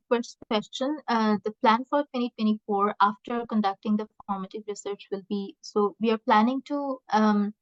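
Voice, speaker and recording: Muffled, halting woman researcher on a call. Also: on the high side, dry recording. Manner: hesitant, halting with many pauses. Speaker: researcher presenting a project. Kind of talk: answering audience questions in an online meeting. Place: online video meeting. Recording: muffled lo-fi online call audio.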